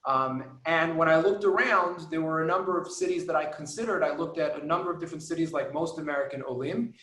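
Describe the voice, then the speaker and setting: A man's voice: fairly high pitch, fast talker, a bit bright, reverberant. Earnest New man on a video call. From New York. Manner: apologetic, wordy and earnest. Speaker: guest immigrant parent. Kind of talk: webinar talk.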